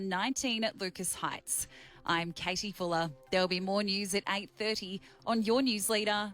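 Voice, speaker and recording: A woman's voice: medium pitch, a bit bright. A woman with an Australian accent reading a scripted radio weather bulletin. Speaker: radio newsreader.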